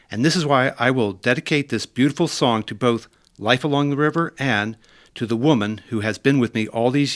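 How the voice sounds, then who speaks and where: A man's voice: mid-range voice, fairly bright tone. Male radio producer, middle register, delivering a personal dedication.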